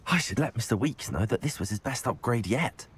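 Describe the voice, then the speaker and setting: A man's voice: mid-range voice, lively intonation, brisk, background noise, lightly acted. A man playing a brave, polite teenage wizard hero in a video game, with an earnest manner. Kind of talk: video game character dialogue.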